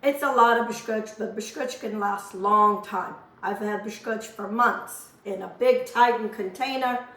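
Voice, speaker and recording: A hoarse woman, chatting homely and rambling as she demonstrates a recipe in her home kitchen. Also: medium pitch, normal speed, few pauses, a bit bright, far from the mic. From Azores. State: hoarse from a lingering cough. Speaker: cooking channel host. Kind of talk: casual cooking demonstration. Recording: roomy kitchen, voice off-mic.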